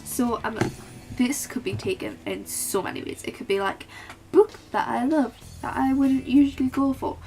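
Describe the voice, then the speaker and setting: A teenage girl talking casually about books in a vlog, with a calm, flat-toned and slightly awkward way of speaking. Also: on the high side, moderate pace, faint music behind, low-quality recording. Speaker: book vlogger. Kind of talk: casual book tag vlog.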